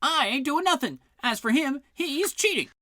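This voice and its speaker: A narrator voicing a hot-tempered alien guard who yells angrily. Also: sits high, animated, moderate pace, dry recording, studio quality, pitched above the performer's own voice. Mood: angry. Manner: angry, shouting.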